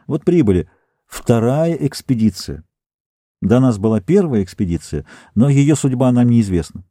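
A man's voice: medium pitch, big pitch swings, normal speed, mellow. A man voicing a plump, self-assured Earth rocket captain who talks eagerly and insistently.

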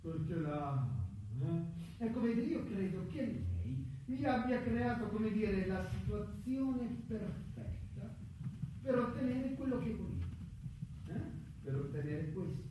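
Insinuating middle-aged office worker, radio play, slow halting delivery, insistent repetition, very echoey distant recording. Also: male voice, high-pitched, big pitch swings. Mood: sly insinuation. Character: insinuating middle-aged male employee, ex human resources, unsettlingly observant. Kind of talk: Italian radio play acting. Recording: very echoey, distant, faint background noise.

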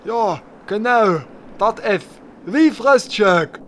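Man as a high, dragging cocky know-it-all. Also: lively intonation, extremely slow, a bit bright, a little hiss or hum, exaggerated voice. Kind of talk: German audio-play parody acting.

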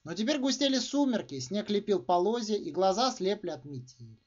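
A man with a high-pitched voice narrates a short story with emphatic, theatrical phrasing. His intonation swings widely from phrase to phrase. The recording sounds dull and muffled. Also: big pitch swings, fairly quick, a little mellow. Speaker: author reading own short story. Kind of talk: expressive literary narration.

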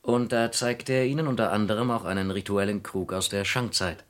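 An actor playing a polite, persistent insurance investigator in a German radio crime play, questioning courteously and deliberately. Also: male voice, lowish voice, steady tone, close to an everyday voice. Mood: courteous, probing.

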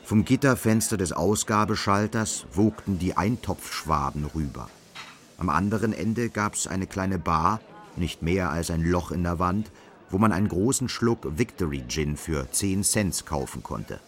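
A man's voice: low-pitched, expressive, measured pace. A man narrating a literary radio drama, telling a dystopian story in a sober, scripted manner. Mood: measured, sober.

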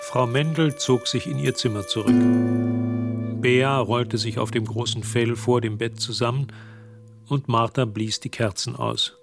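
A man's voice: medium pitch, creaky. A man narrating a radio drama as a composed storyteller, reading scripted prose. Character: composed storyteller narrating events in past tense.

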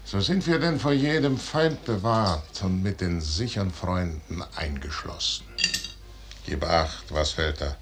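An actor playing a proud, weary, fatalistic aging general in a grand, measured 1950s radio drama style. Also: male voice, sits low. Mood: weary, musing. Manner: measured, grand, dismissive, declaiming. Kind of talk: 1950s German radio drama stage acting. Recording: noisy 1950s radio recording.

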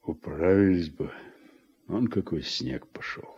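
Weary deep-voiced war veteran, muffled recording. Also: male voice, lively intonation, takes it slowly, creaky, darkish tone, slightly rough, full character voice. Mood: tired, tender. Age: middle-aged. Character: weary, regretful older war-veteran farm worker. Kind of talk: Soviet radio drama acting. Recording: muffled old radio recording, music behind.

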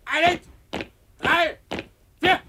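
A man's voice: very high voice, very slow, exaggerated voice. A man playing a harsh, bullying military drill sergeant in a radio play, barking clipped orders. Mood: harsh, commanding. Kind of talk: radio play shouted commands. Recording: clearly noisy radio drama recording.

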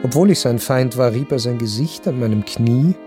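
A man in a radio play acting a melancholy, detached baron who tells his story in measured, sombre literary narration. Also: medium pitch, music in the background, natural voice. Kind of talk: literary first-person narration in radio drama.

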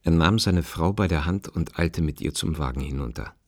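A man narrating a short story in a radio play, in a sober, measured and restrained way. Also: a bass voice.

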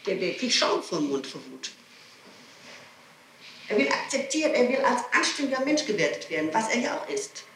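A woman's voice: on the high side, big pitch swings, normal speed, pauses often, fairly bright tone. A woman speaking in an agitated, emphatic way and repeating herself as she explains. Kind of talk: archival interrogation recording. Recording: roomy old tape recording with faint hiss.

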